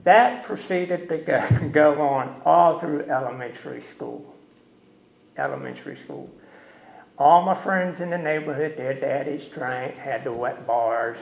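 A loud man from Norfolk, telling his personal story in a folksy way as guest speaker at a recovery meeting. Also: medium pitch, vocal fry, a little hiss or hum. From Norfolk, USA. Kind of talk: personal testimony to a group. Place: meeting room. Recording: echoey, dull meeting-room recording.

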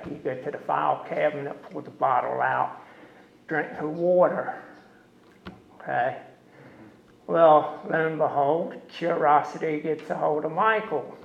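A loud man from Norfolk, telling his personal story in a folksy way as guest speaker at a recovery meeting. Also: mid-range voice, creaky, a little hiss or hum. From Norfolk, USA. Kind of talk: personal testimony to a group. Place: meeting room. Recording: echoey, dull meeting-room recording.

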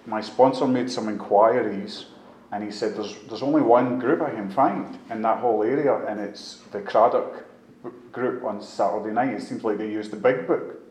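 Scottish guy in his 50s, kinda low voice, telling his story at a meeting, bit of background noise. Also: darkish tone. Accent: Scottish, Glasgow area. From Scotland, just outside Glasgow. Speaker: speaker sharing at a recovery meeting. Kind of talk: personal testimony to a group. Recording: echoey room with faint background noise.

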